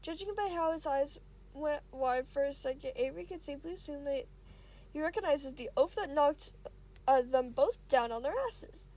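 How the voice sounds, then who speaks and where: Female amateur story narrator, very high register, reads prose with stumbles and restarts. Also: darkish tone. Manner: halting, stumbles and rereads phrases. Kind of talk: amateur fan fiction reading.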